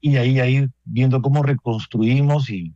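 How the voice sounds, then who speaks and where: A man with a Peruvian accent, a priest answering radio questions in a halting, thoughtful way with many restarts. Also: medium pitch, fairly even intonation, dark tone, no echo, dull audio. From Peru. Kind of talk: radio interview guest.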